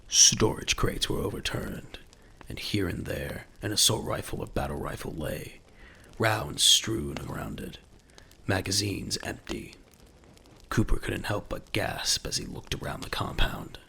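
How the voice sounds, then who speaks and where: Very deep, gravelly male dramatic narrator. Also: very animated, unhurried, sharp tone, a little hiss or hum.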